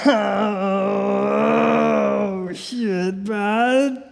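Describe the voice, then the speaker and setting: Man playing a slow, dopey dude in reverb. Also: high-pitched. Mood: pained. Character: dopey, slack, slow-talking man who calls everyone bud. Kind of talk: comedy audio drama acting. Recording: long reverb.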